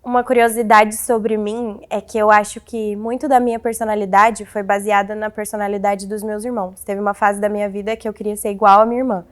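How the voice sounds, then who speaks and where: A woman's voice: mid-range voice, flat delivery, a little fast, few pauses. A twenty-one-year-old woman, giving considered, analytical interview answers.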